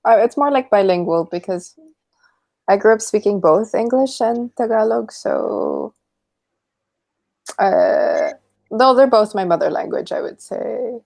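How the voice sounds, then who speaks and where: A woman's voice: mid-range voice, measured pace, vocal fry, long pauses. A young woman from the Philippines, an English tutor, chatting casually on a video call and laughing often. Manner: relaxed, laughs often, agreeable. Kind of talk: casual online conversation lesson.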